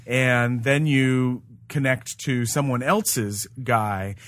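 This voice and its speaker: Halting male podcast host, dry close sound. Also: mid-range voice, steady tone, a bit bright, no echo. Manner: hesitant, halting explanations that grope for words. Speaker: podcast host reviewing games. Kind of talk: casual unscripted review chat.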